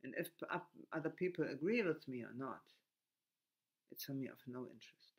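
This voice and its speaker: A man from Germany with a German accent, speaking haltingly in a reflective monologue with frequent restarts and broken grammar. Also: mid-range voice, expressive.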